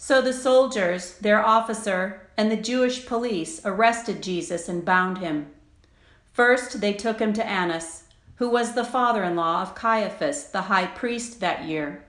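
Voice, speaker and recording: A woman's voice: mid-range voice, a little slow, a bit bright. Woman reading scripture in an echoey room. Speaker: scripture reader. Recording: roomy, echoing sound.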